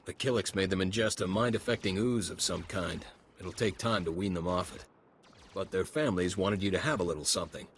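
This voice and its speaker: An actor playing a friendly, sympathetic and wry camp helper in a video game, speaking conversationally. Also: male voice, deep voice, fairly quick, a little hiss or hum, close to an everyday voice.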